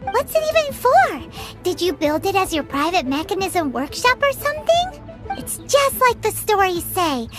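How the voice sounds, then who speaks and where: A woman voicing a small, excitable, childlike fairy companion with a squeaky voice in a video game. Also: normal speed. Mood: amazed. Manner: speaks of self in third person, exclamatory. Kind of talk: animated video game voice acting. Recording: music behind the voice. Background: playful game music.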